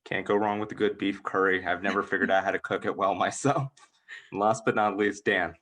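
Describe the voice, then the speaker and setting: A man's voice: deep voice, steady tone, brisk, a bit bright. An American man moderating a panel discussion, introducing topics and putting questions to panelists. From United States, Georgia.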